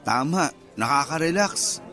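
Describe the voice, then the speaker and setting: A friendly nurse catching up with an old flame, in a Filipino accent. His voice sits on the higher side for a man, with light music underneath, in the manner of a radio drama. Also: expressive, a little slow, pushed and bright. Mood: friendly, relaxed. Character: friendly male nurse reuniting with old sweetheart.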